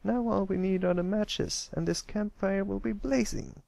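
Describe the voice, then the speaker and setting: A man's voice: sits high, moderate pace, vocal fry, darkish tone, no echo, voice pushed up. A man voicing a brash, bossy young pony filly with an impatient, complaining manner in a cartoon fan story reading. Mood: eager, demanding.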